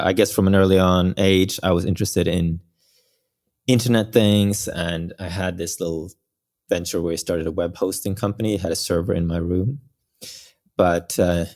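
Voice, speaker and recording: Man, 30s, deep voice, relaxed, hesitant, chuckling. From Norway. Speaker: guest, company founder. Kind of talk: interview answers.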